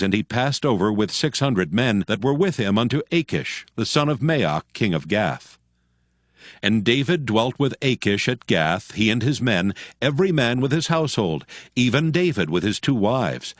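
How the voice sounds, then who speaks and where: A man reads scripture as a formal narrator. His voice is on the low side with lively intonation and a solemn storytelling manner. The sound is close and dry. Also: no echo.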